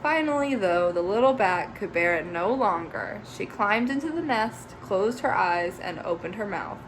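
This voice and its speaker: Lively woman reading a kids' story. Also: mid-range voice, normal speed, a little hiss or hum, low-quality recording. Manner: expressive, with chatty asides to young listeners. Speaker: teacher. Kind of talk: reading a children's story aloud.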